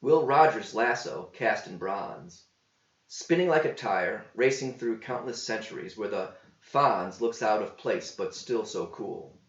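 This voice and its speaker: Slow-reading man in a roomy space. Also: on the low side, lively intonation. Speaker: author reading own verse epic. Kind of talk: scripted verse narration. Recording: roomy, slightly dull home recording.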